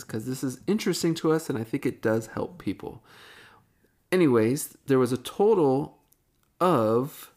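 A man's voice: medium pitch, darkish tone. A man co-hosting a casual podcast, chatty and enthusiastic, often correcting himself mid-sentence. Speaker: podcast co-host.

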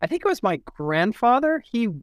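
A man in a casual literary discussion, thinking aloud in a halting way and often repeating and restarting his phrases. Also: very high-pitched, big pitch swings, no room sound, clean studio sound. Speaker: podcast discussion co-host.

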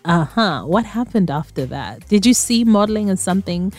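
A woman's voice: mid-range voice, animated, moderate pace, faint music behind. A woman hosting a radio talk show, chatting casually with a guest and affirming her answers often. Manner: warm, curious, affirms guest with frequent mm-hmms. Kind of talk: casual interview chat.

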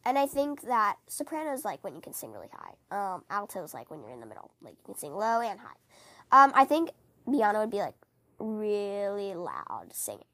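A woman hosting a casual book-fan podcast, chatting in a rambling, animated, giggly way, her voice scratchy from a cold. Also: mid-range voice, normal speed, no echo. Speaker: podcast host.

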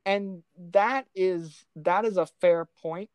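A podcast co-host talking casually about films in an animated, exasperated way, with some mild swearing. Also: mid-range voice, slow pace, smooth, no room sound, dull audio. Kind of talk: casual film discussion.

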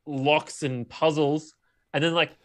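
A man co-hosting a casual film discussion, rambling along in an animated, slangy way. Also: a little high, takes it slowly. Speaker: podcast co-host.

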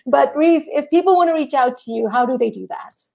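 A woman in her thirties hosting a live podcast interview, talking in a quick, energetic, chatty way. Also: high voice, vocal fry. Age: 30s. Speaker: podcast host. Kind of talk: casual live-stream interview.

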